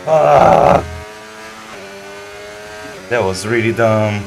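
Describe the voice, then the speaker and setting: A man's voice: lowish voice, extremely slow, creaky, slight background noise, low-quality recording. A man in casual voice chat during a racing game, laid-back with short agreeing replies and cursing at his own mistakes. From Brazil. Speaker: sim racing player. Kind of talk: casual voice chat while gaming.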